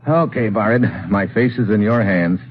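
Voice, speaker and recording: An actor playing a tough, wisecracking American secret agent with slangy, hard-boiled delivery in an old-time radio drama. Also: male voice, fairly low pitch, moderate pace, lightly acted. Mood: wry, easygoing. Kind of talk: old-time radio detective acting and first-person narration. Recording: muffled old radio recording.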